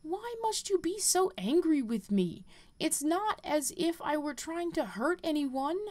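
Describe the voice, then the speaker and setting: A woman's voice: high-pitched, expressive, unhurried, a little mellow, voice pushed up. A woman voicing a defiant, headstrong young girl healer from game quest dialogue, stubborn and dismissive. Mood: hurt, pleading.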